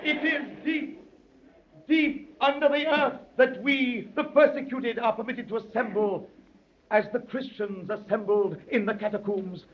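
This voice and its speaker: A very high-voiced male anarchist speechmaker, solemn and declamatory, addressing his secret brotherhood in grand rhetorical phrases. It is 1930s radio drama, with muffled vintage sound. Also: a little slow. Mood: pleading, fervent.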